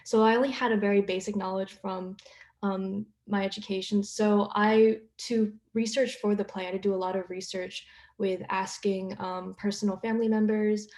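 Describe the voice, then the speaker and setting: A young woman answers a question as a panelist and playwright. Her mid-pitched voice stays quite flat in intonation. The recording is noticeably roomy and echoing. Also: normal speed.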